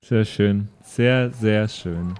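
A man's voice: fairly low pitch, drawn-out words, a little mellow, clean tone. A man playing in a tabletop role-playing podcast, chatting casually in a wry, teasing way. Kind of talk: casual chat, improvised.

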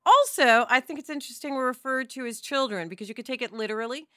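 A woman's voice: high-pitched, fast, a bit bright, no echo, clean studio sound. A woman talking conversationally as a podcast co-host.

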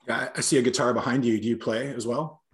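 A man from Los Angeles hosting a podcast interview, talking in a halting way with frequent pauses. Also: medium pitch, fairly even intonation. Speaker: podcast host.

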